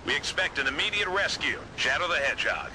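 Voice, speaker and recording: A man's voice: fairly high pitch, very animated, fast. A man playing a businesslike headquarters radio operator in a video game, giving a formal, urgent briefing. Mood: urgent, official. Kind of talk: video game cutscene acting. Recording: noisy ambience behind the voice.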